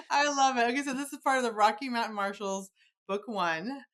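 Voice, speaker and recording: A woman's voice: on the high side, normal speed, a bit bright. A woman hosting a podcast, asking questions in a casual, conversational interview style.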